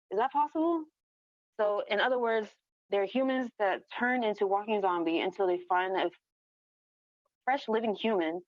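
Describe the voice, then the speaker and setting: A woman reading her own first-person story aloud as a narrator, in an echoey, muffled recording. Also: mid-range voice. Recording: echoey room, dull lo-fi sound.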